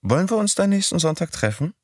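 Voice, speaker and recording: A man voicing a bold, whimsical young woman in an audiobook, with a raised, lively, teasing lilt. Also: high voice, fast talker, no echo, clean studio sound, voice pushed up. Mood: curious. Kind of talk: audiobook character voice.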